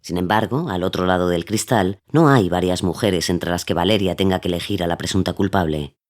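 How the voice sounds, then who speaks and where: A man's voice: low voice, a little fast. A man delivering scripted documentary narration as the narrator of an audio series. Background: soft music.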